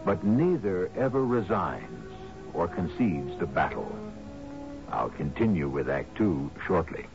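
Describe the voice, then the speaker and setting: A man hosting a radio drama with scripted narration, measured and portentous in delivery. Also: deep voice, very animated, vocal fry. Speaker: host. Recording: muffled radio broadcast over music.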